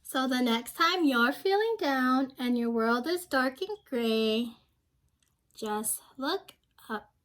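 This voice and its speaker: A woman's voice: sits high, lively intonation, takes it slowly, fairly bright tone. A young woman reading a storybook aloud to children, speaking simply and expressively and prompting her listeners with questions. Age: young adult.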